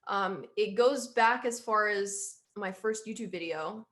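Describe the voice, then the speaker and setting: A woman's voice: mid-range voice, measured pace, a bit bright. A young woman musician chatting casually in an interview, rambling and quoting herself often.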